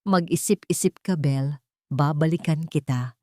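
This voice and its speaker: A woman's voice: sits low, measured pace, dark tone, no room sound. Woman acting out menacing young man, mocking, jealous, threatening, audio drama villain, dry recording. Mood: taunting threat.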